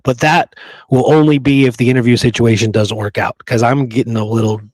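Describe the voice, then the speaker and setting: A man's voice: medium pitch, steady tone, fairly quick, a little mellow, dry recording, rough recording. A man talking casually and informally as a podcast co-host.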